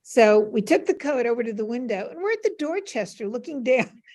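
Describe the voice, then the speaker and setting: An older American woman, a panel guest, telling casual stories in an animated way and acting out remembered dialogue. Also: fairly high pitch, fairly quick. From United States. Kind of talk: casual anecdotal storytelling.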